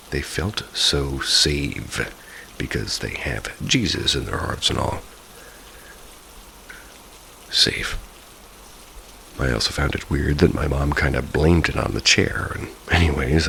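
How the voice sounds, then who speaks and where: A man's voice: rumbling low, vocal fry, slight background noise, low-quality recording. A man narrating first-person ghost stories from a script, in the style of a bedtime listen. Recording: steady rain ambience behind the voice. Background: rain falling.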